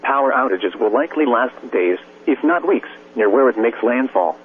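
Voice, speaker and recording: A man's voice: medium pitch, lively intonation. Tinny radio man reading stiff synthetic weather bulletin. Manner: stiff, measured synthetic reading with clipped list phrasing. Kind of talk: formal scripted hurricane warning bulletin. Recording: tinny weather radio broadcast with hum, faint hiss.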